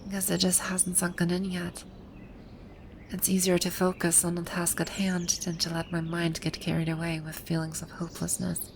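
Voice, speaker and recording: A woman playing a haughty, grouchy video game villain boss with a secretly soft side, speaking in imperious, formal grumbles in an ASMR roleplay. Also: on the low side, monotone, normal speed, a little hiss or hum, close to an everyday voice. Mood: wistful, resigned. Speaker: audio roleplay voice actor.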